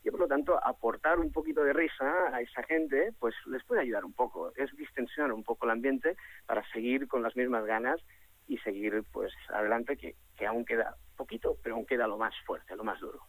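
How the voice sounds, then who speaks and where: Young adult man from Catalonia, husky, dry close sound, animated and jokey, restarts a lot. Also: medium pitch, brisk, dry recording, rough recording. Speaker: guest, comedian behind a satirical superhero character. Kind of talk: casual interview talk.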